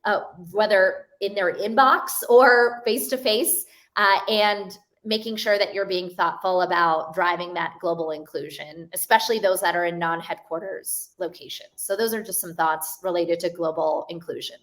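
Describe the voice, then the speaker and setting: A woman's voice: fairly low pitch, steady tone, normal speed, a bit bright. A woman in her forties speaking on a panel over a video call, laying out points in a structured, anecdotal way. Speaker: panelist, collaboration expert. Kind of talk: panel discussion.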